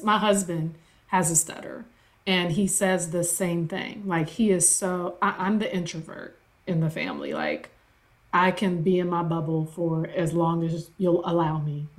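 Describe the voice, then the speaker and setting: A woman hosting a podcast interview, listening supportively and responding warmly to her guest. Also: lowish voice. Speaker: podcast host. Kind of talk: casual conversational interview.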